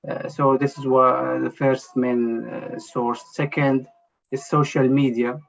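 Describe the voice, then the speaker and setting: Halting man, gravelly. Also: medium pitch, level tone. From Jerusalem. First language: Arabic. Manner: hesitant, halting, full of "you know" and restarts. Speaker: journalist guest. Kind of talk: conversational interview answers. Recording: dull lo-fi sound.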